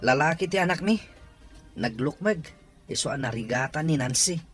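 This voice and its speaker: A man's voice: a little high, expressive, moderate pace, breathy edge, soft background music. Anxious young Ilocano father. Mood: subdued, worried. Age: young adult. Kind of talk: Ilocano radio drama acting.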